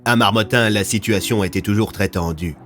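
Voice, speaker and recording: Low-voiced male audio fiction narrator. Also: fairly quick, fairly bright tone, soft background music.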